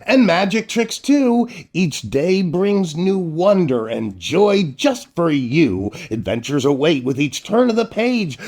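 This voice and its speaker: A man's voice: high voice, darkish tone. An older man with a playful, theatrical way of talking, hosting a storytime for children and reading aloud. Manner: playful, exaggerated, theatrical, addressing children directly. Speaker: children's entertainer, storytime host. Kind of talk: animated children's read-aloud and jokey intro.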